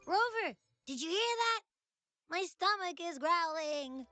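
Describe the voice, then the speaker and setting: A high-pitched, childlike creature sidekick from a video game. The delivery swings widely between cocky boasting and whiny pleading, with a bright, forceful edge and music underneath. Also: female voice, lively intonation, slow pace, full character voice. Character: boastful, gluttonous, childlike little shapeshifting creature companion. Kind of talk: animated video game voice acting.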